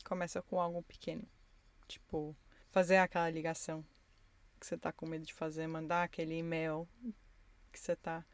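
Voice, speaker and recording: A woman's voice: low voice, level tone, moderate pace, long pauses, dry recording. A woman hosting a solo podcast from inside her car, reflective and encouraging as she talks straight to her listeners. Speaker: podcast host. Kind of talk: intimate solo podcast monologue.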